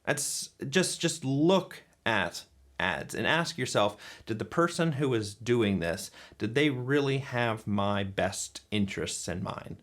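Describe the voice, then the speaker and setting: A man in his forties from the United States hosting a reflective talk, musing and relaxed, with fillers and self-corrections. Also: mid-range voice, slow pace. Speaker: host.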